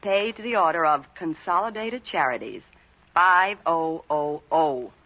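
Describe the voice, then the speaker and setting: A woman's voice: sits low, lively intonation, measured pace. Brassy American con woman, muffled. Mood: cocky. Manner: breezy, slangy, sassy. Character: brassy, cocky female con artist. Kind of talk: 1940s radio drama acting. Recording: muffled 1940s radio broadcast recording.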